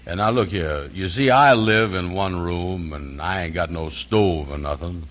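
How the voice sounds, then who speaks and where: A low-voiced, slow-witted but big-hearted uncle from a 1940s radio comedy. He talks in a folksy, ungrammatical drawl, humble and proud. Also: a bit bright. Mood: humble, pleading. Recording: vintage 1940s radio broadcast.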